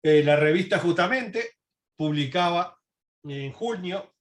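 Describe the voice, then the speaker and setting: A man giving a history presentation, reading and commenting in a halting, self-correcting way. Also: high voice, animated. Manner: halting, with frequent self-corrections and throat clearing. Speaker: lecturer. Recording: echoey, roomy sound.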